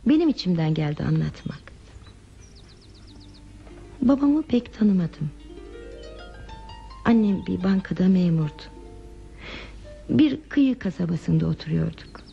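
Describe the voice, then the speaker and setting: An actress playing a lonely, withdrawn woman in a radio play, confiding her sad past in a hesitant, soft, reflective way. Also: on the low side, expressive, a little slow, gravelly, pauses often. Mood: melancholy, confiding. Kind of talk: Turkish radio theatre acting. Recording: muffled lo-fi radio drama with music.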